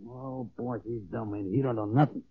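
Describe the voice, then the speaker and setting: A man's voice: mid-range voice, brisk, slight background noise, natural voice. An actor playing a dim, boastful gangster henchman in 1940s radio style, with an American accent and slangy, ungrammatical talk, eager for approval. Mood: puzzled, dim. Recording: muffled tinny old radio recording.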